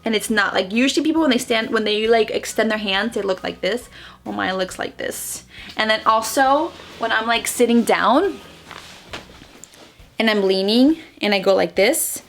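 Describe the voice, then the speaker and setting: A woman's voice: on the high side, normal speed. Chatty woman vlogging from her bedroom. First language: Spanish. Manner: chatty and animated, gesturing with her hands, many fillers and restarts. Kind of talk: casual facts-about-me vlog. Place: bedroom at home, indoors. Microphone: camera close in front, seated.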